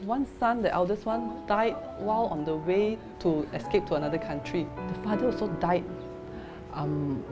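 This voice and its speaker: A woman's voice: fairly low pitch, normal speed, over music. A woman volunteer, talking in a casual interview in a chatty way. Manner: chatty, with fillers and restarts. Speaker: charity volunteer.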